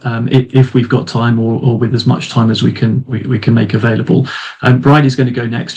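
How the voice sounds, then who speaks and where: A man's voice: mid-range voice, creaky, a little mellow, poor audio. A man chairing an online webinar, introducing speakers and giving reminders with many restarts and fillers, heard over a video call.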